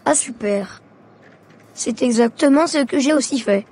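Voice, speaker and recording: A woman's voice: high-pitched, measured pace, darkish tone, slightly rough. A woman playing an earnest, devout young schoolboy in a cartoon, with music behind the voice. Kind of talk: scripted cartoon dialogue.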